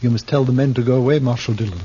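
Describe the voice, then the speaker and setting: An actor in a 1950s radio drama playing a tormented failed thespian on the run, speaking in florid, archaic, Shakespearean phrases. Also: male voice, mid-range voice, fairly even intonation, rapid-fire, dark tone, lightly acted. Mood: desperate, pleading. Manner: theatrical, archaic florid speech, quoting Shakespeare. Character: desperate, tormented, ill-favoured failed actor turned armed fugitive. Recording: dull, noisy old radio recording.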